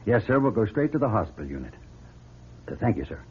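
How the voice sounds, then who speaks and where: An actor playing an emphatic, commanding male astronaut commander in a radio drama, with a husky voice. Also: lowish voice, sing-song, fast talker, full character voice. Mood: serious. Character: male astronaut commander, husband, exasperated but steady. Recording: muffled radio drama recording.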